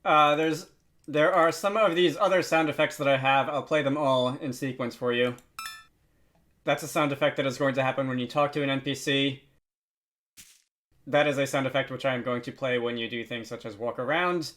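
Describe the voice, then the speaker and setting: A man narrating a software tutorial in a casual, informal way, pausing often as he explains. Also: mid-range voice, steady tone. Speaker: tutorial host.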